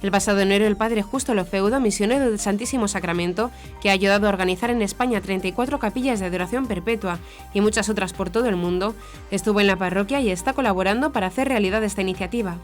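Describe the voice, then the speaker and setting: A woman's voice: mid-range voice, fast talker. A woman reads scripted news in a formal manner. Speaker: news presenter. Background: background music.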